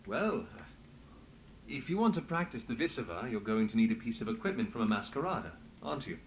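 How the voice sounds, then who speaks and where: An actor playing a confident, dry-witted investigator in a fantasy video game, with a commanding, wry manner. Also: male voice, mid-range voice, big pitch swings, fairly quick, slight background noise. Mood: testing, wry. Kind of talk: dramatic video game dialogue.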